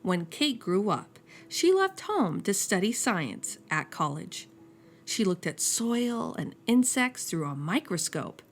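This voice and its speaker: A woman reading a children's picture book aloud as a storyteller, slowing down and dropping soft and low on each refrain. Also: big pitch swings, faint music behind.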